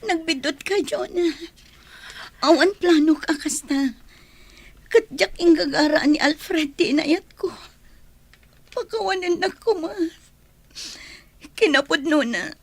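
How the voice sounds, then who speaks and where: Young Filipina radio-drama heroine: very high voice, slow delivery, tearful and apologetic. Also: female voice, dry recording, exaggerated voice. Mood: pleading, tearful. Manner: pleading, emotional. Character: tearful, apologetic young woman pleading with her friend.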